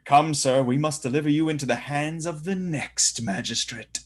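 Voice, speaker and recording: An actor playing a commanding young gentleman, with a theatrical, declamatory delivery. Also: on the high side, normal speed, close to an everyday voice. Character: young gentleman arresting a traitor, male, commanding. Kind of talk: stage-play reading.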